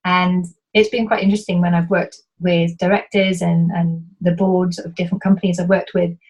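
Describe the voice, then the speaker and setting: A woman's voice: on the low side, little pitch movement, normal speed, a little mellow, breathy edge, highs cut off. A woman from Wales with a light, toned-down British accent, answering interview questions as a business coach, earnest and explanatory, often restarting her sentences. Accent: light British, toned-down Welsh.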